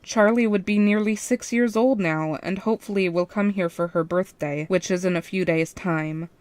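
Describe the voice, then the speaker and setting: A woman's voice: medium pitch, normal speed, darkish tone, no room sound. A woman reading a first-person fan fiction story as its narrator.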